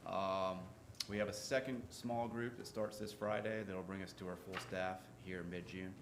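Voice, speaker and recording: A man presenting his lifeguard staff to a town board in a relaxed way, with many fillers. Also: low-pitched, moderate pace. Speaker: ocean rescue official presenting to the board. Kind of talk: informal presentation. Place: town council chamber. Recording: echoey room with light noise.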